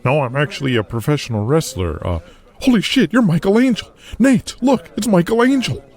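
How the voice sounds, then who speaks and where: An actor playing brash, excitable young pro wrestlers trading slangy, sweary banter in an audio drama. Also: male voice, on the high side, fairly quick. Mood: starstruck.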